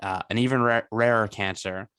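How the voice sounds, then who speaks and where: Guest, 20s man, lowish voice, dry close sound, earnest and halting. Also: fairly even intonation, fairly bright tone, clear, resonant tone, no room sound. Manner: earnest, halting with many restarts and fillers. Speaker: guest entrepreneur. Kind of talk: interview.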